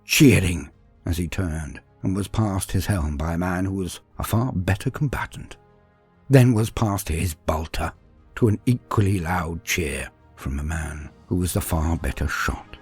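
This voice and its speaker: Husky low-voiced man narrating dramatically. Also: a little slow, a little mellow, soft background music. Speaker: narrator of fan fiction war tales. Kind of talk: dramatic scripted storytelling narration.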